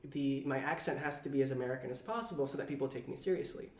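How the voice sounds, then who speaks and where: A male guest in a casual chat, speaking in a halting, hesitant way. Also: mid-range voice, background noise. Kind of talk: casual conversation. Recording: roomy echo, distant from mic.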